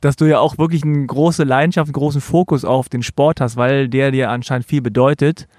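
A male interviewer at a middle pitch who asks long, winding questions and keeps rephrasing them mid-sentence. The recording is close and dry, with no room sound. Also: fairly even intonation, fairly quick, a little mellow, dry recording. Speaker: interviewer, host.

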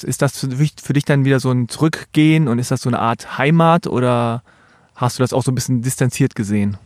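A man's voice: mid-range voice, a little fast, darkish tone, dry recording, studio quality. A man hosting an interview, asking long, rambling questions that he rephrases as he goes. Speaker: interviewer, host.

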